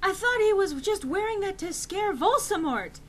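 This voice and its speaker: A woman voicing an angsty teenage goth girl with shrill, overwrought exclamations in a story reading. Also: animated, moderate pace, slight background noise. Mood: shocked outrage.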